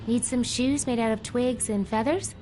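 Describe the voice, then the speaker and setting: A woman's voice: fairly high pitch, fairly even intonation, moderate pace, close to an everyday voice. An actress playing a plucky, curious teenage baker girl in a video game, breezy and casual. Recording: game music behind the voice. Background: game background music.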